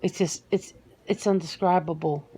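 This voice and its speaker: A woman playing a reluctant, sentimental female werewolf in a soft-spoken ASMR interview roleplay, speaking haltingly and repeating words. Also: on the low side, fairly even intonation, husky. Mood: troubled, distressed.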